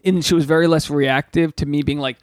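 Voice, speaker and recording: A man's voice: on the high side, fairly quick, clear, resonant tone, no room sound, clean studio sound. A man in his thirties hosting a podcast, telling crude, rambling stories in a casual comedic chat. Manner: crude, rambling, animated storytelling. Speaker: podcast host.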